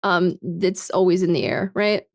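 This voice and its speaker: A woman's voice: on the low side, flat delivery, normal speed, no echo. Laid-back woman streamer with a dry sound. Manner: frank and chatty, swears often, laughs a lot, fills with "like" and "man". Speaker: livestreamer. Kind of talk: casual chat, reading and answering viewer comments.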